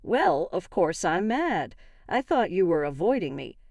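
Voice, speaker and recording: Woman acting an indignant wronged wife, lively intonation. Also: fairly low pitch, measured pace, vocal fry, no room sound, exaggerated voice. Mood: accusing, hurt. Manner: argumentative, emphatic. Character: wronged, indignant young wife confronting her in-laws. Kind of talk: acted dialogue in a narrated story.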